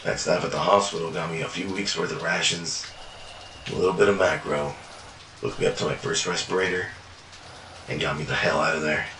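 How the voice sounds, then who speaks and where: A man's voice: sits low, fairly even intonation, brisk, bright, forceful tone. A man narrating a first-person fiction journal in a weary, world-worn way, swearing often. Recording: echoey room, distant mic, noisy.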